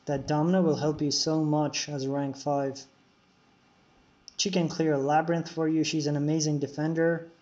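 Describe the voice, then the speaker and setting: A man's voice: on the high side, level tone, normal speed, darkish tone. A man streaming a mobile game live, chatting casually with viewers and giving tips between fights. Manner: casual, answers chat while playing, frequent fillers. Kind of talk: live-stream commentary while playing. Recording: dull lo-fi recording.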